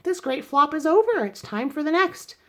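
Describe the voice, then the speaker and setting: Woman acting elderly storybook aunt, spirited, encouraging, raised pitch, emphatic and exclamatory. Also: big pitch swings, normal speed. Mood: elated.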